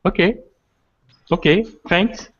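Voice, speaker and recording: A man with a French accent, learning English and giving short, halting replies. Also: sits high, slightly rough. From France. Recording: echoing, muffled online call.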